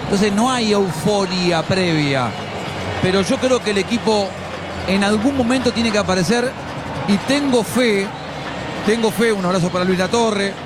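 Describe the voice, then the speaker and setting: A man's voice: sits high, normal speed, crowd noise. A man with an Argentine accent giving conversational football commentary on live radio. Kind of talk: conversational live sports chat. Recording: loud background noise at stadium.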